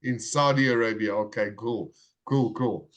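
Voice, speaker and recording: Guy teaching english on a video call, calling out names slow and friendly, mid pitch. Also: highs cut off. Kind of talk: live online class roll call.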